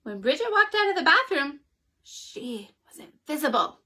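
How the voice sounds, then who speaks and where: A woman reading a children's picture book aloud in an animated, expressive way. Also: sits high, normal speed. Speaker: children's story reader. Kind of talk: storytime read-aloud.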